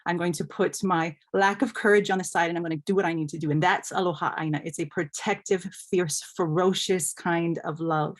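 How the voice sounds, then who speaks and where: A woman with a somewhat low-pitched voice speaks as a scholar on a panel. She explains her thoughts with earnest emphasis, building her points phrase by phrase. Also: fairly even intonation, fairly quick, hardly any pauses.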